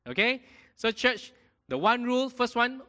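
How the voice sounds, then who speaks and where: A man preaching a sermon in a lively way, often checking in with his congregation. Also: extremely high pitch, moderate pace. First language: not Mandarin. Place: church hall. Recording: long hall reverb.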